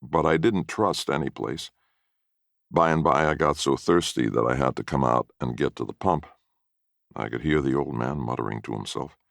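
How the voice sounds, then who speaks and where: A man's voice: a bass voice, moderate pace, vocal fry, a bit bright, no echo, studio quality. A man reading a first-person story as narrator in a folksy storytelling manner.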